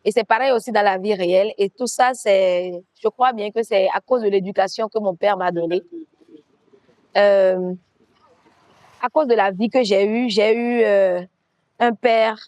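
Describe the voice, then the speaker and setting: A woman's voice: medium pitch, steady tone, unhurried, a little mellow, no echo. A woman from Benin, telling her story earnestly in an interview and repeating key phrases for emphasis. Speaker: guest, activist and coach. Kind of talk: conversational storytelling.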